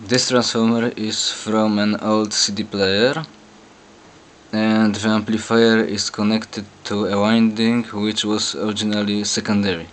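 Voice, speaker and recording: Slow, low-voiced man demonstrating, noisy recording. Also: little pitch movement, a bit bright. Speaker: host of an electronics demo video. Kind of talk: step-by-step demonstration narration. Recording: clear background noise on amateur camera audio.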